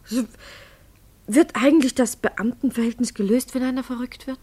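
Anxious mother of a big family for radio drama: high voice, soft-hearted, fretful, openly emotional. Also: moderate pace, slightly rough. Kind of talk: German radio play dialogue.

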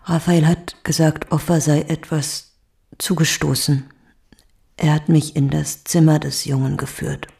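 An actress playing a subdued, evasive mother under police questioning, quiet, halting and terse, in a naturalistic radio drama. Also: deep voice, level tone. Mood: numb, hushed. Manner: quiet, halting, terse, often breathy near-whisper.

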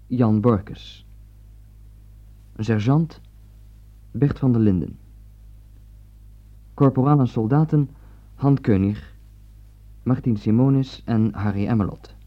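Guy announcing on old radio, scripted read, average pitch, muffled lo-fi sound. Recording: dull lo-fi old radio recording.

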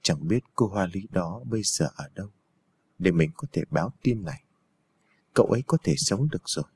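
Storybook old temple woman, read by a man: kindly, worried, quiet, husky and low. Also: animated, a little fast, no room sound. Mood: worried, sorrowful.

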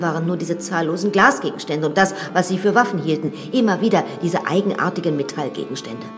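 Actress as a frank, low-voiced young widow. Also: female voice, close to an everyday voice. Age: young adult. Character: frank, self-assured 27-year-old widowed lady of a manor. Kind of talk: radio drama acting. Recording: studio drama with reverb and music.

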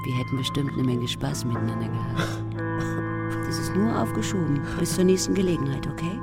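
A woman's voice: very deep voice, normal speed. An actress playing a wistful, affectionate old friend, reading her letter reflectively in a radio drama. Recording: loud music under the voice.

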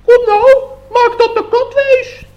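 A tiny, elderly forest gnome in a slightly dull radio recording. The voice is extremely high. He sounds naive, earnest and a little indignant. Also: steady tone, slow. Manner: earnest, hesitant.

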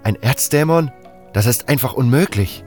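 A man's voice: medium pitch, expressive, moderate pace, darkish tone, pitched above the performer's own voice, close to an everyday voice. A man plays a brooding, scholarly young mage's apprentice in a fantasy audio drama. He explains and reasons step by step, with music playing beneath the words. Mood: sceptical, lecturing. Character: learned, brooding male mage's apprentice, pondering aloud. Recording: music under the voice.

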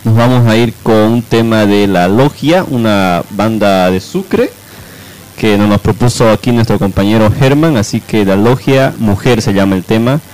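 A man's voice: lowish voice, animated, normal speed, darkish tone, rough recording. A man co-hosting a radio show in an informal, conversational style.

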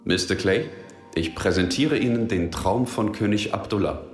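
Actor as deep-voiced, courteous Saudi official. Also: male voice, moderate pace, faint music behind, lightly acted. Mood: courteous, formal. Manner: formal, polite. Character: polished Saudi male official, courteous and formal. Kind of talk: radio drama dialogue.

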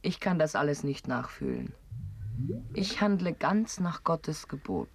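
A stern, devout sceptic in a radio play. Her voice is low for a woman. She speaks with pointed doubt. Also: wildly swooping pitch, a little slow, gravelly, slightly rough, heavily acted. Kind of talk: radio drama acting.

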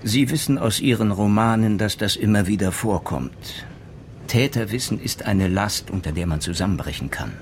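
An actor playing a methodical retired police detective in a crime radio play, deliberate and self-assured, reasoning through rhetorical questions. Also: male voice, fairly low pitch, a little hiss or hum, close to an everyday voice. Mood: probing, methodical. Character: retired male police detective, methodical, probing, theatrically sure of himself.

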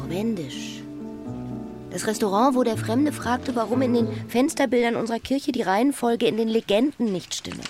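An actress playing a wistful, lovesick woman, brooding and quietly bitter, in an intimate literary radio drama. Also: on the high side, close to an everyday voice. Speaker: lead actress and first-person narrator.

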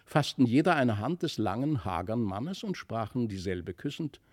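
A man narrating a literary fairy tale from a script, with expressive, deliberate storytelling. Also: mid-range voice. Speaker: audiobook narrator.

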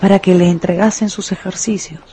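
A man's voice: high-pitched, fairly even intonation, fairly quick, dark tone, slight background noise. A man narrating a scripted story dramatically for radio. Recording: music behind the voice.

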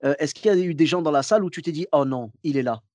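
A man's voice: fairly high pitch, very fast, darkish tone, no room sound. A man hosting a podcast interview, asking chummy, casual questions. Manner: friendly, chummy questions, laughs along.

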